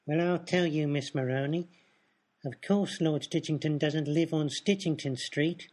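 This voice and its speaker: An actor playing a calm, cagey criminal who speaks matter-of-factly in a comedic radio play. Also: male voice, fairly high pitch, measured pace. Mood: calm, explanatory. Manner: unruffled, matter-of-fact, cagey. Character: calm, cagey mystery man confessing to murder.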